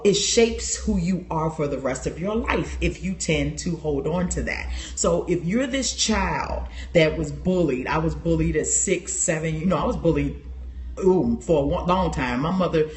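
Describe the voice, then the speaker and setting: A woman hosting a casual livestream on her phone, animated and laughing often as she talks straight to her viewers. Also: mid-range voice. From Chicago. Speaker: livestream host. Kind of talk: casual personal talk to viewers.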